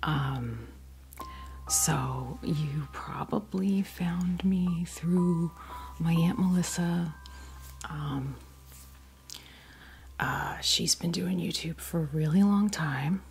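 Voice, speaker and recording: An American woman speaking in a soft, breathy near-whisper, chatting casually and hesitantly in an ASMR style close to a sensitive microphone. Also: on the low side, extremely slow, pauses often. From United States. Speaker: ASMR video host. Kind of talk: ASMR, casual unboxing chat.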